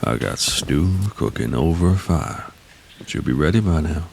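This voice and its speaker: An actor playing a gruff older mountain man in an audio drama, a blunt, folksy combat mentor who teases dryly. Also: lively intonation, normal speed, background noise, full character voice. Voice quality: deep, gravelly.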